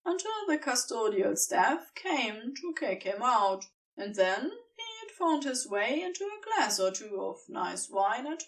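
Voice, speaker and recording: A woman reading fiction as an audiobook narrator, with deliberate storytelling delivery and dramatic pauses. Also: fairly high pitch, far from the mic, poor audio. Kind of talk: expressive scripted fiction narration.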